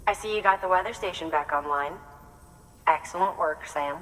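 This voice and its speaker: Woman as a game support officer, low voice, measured, explanatory, long reverb. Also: a bit bright, close to an everyday voice. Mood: calm. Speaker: mission support contact. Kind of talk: video game cutscene dialogue.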